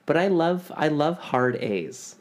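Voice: mid-range voice, animated, slow pace. Chatty podcast host with a Canadian accent. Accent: Canadian, Ontario. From Ontario, Canada. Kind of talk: casual chat.

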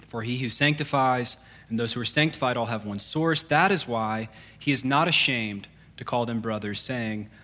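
A male pastor with a bright, forceful voice delivers a sermon. He reads scripture and then drifts into chatty asides and self-deprecating jokes, full of fillers, at a middle pitch. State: congested.

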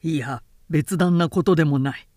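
A woman's voice: deep voice, normal speed, mellow, dry recording, clean studio sound, close to an everyday voice. A woman playing a proud, commanding male warlord. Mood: amused scorn. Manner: curt, authoritative, archaic lordly speech.

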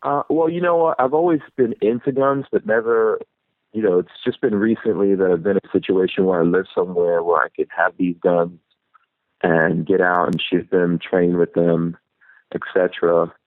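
Low-voiced guy on a phone line, laid back, rambles a bit, dry sound. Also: steady tone, normal speed, no echo. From New York City. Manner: easygoing, reflective, rambling with restarts. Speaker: guest, YouTuber. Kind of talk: casual interview chat.

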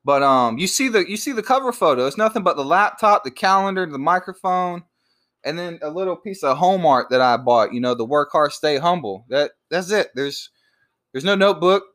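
A man in his late twenties talking loosely on his own podcast, quick to laugh and prone to restarting his sentences. His voice sits a bit high and swings widely in pitch as he jokes and rambles. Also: animated, fairly bright tone. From born in Georgia, raised in Virginia. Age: 20s. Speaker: podcast co-host. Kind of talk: casual unscripted podcast chat.

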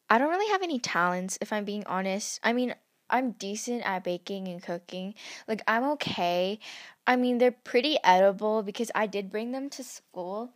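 A woman's voice: mid-range voice, animated, moderate pace, no echo, clean studio sound. A teenage girl rambling about herself in a casual podcast at home, giggling and joking at her own expense. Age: teens. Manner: giggly, self-mocking, chatty. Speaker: podcast host. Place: home bedroom at night.

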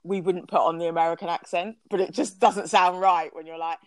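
A woman's voice: on the low side, fairly even intonation, brisk, dry recording. A young British woman hosting a podcast, chatting casually and laughing often. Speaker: podcast host. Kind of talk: casual chat.

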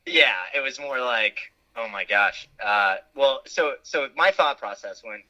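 A man talks as an interview guest with a very bright, sharp-edged tone. He rambles warmly through fillers and restarts and often laughs at his own expense. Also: medium pitch, unhurried, poor audio. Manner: animated, laughs at himself, lots of fillers. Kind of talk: casual interview chat.

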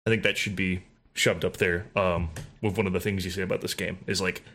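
A man's voice: low voice, level tone, a little fast, a bit bright. A man chatting casually about video games, rambling with many fillers, restarts and swearing. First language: English. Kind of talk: casual gaming chat.

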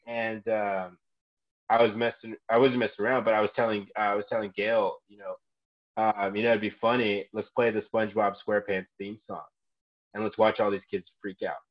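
Twenty-something guy, lowish voice, acts out every reaction, kinda muffled lo-fi audio. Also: normal speed. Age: 20s. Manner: animated storyteller who acts out reactions. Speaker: gym coach and guest. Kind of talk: casual chat.

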